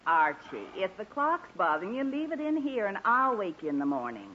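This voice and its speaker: A woman playing an animated, firm radio mother. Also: mid-range voice, natural voice. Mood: soothing, weary. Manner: brisk, placating, mildly scolding. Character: patient but firm middle-aged wife and mother. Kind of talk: 1940s radio comedy acting. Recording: dull, noisy 1940s radio recording.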